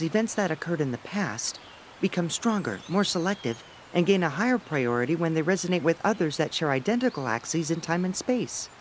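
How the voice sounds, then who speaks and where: A man playing a thoughtful young theorist who explains in a measured, articulate way, in a sci-fi game dub. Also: a little high, slight background noise.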